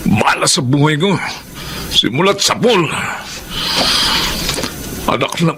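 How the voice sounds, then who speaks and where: A man's voice: on the high side, expressive, slow, noisy background. A man playing a hot-tempered bitter father. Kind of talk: audio drama acting. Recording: dramatic music underneath. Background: music.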